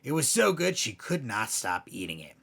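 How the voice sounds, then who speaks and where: A man narrating scary bedtime stories as a host, in a theatrical way with emphatic swells and hushed drops. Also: medium pitch, big pitch swings, moderate pace, vocal fry. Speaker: storyteller, host.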